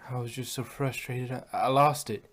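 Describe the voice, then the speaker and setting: A man's voice: mid-range voice, steady tone, normal speed, fairly bright tone, natural voice. A man voicing an earnest, guilt-ridden female farm pony in a fan fiction reading. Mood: guilty, regretful. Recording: noisy, distant-sounding home recording.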